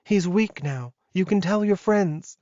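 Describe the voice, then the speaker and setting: A man's voice: high-pitched, a little slow, dark tone, dry recording, muffled recording, voice pushed up. A man voicing an evil young werewolf woman in an audiobook, pleading and emotional while she schemes. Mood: desperate pleading. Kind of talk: audiobook character acting.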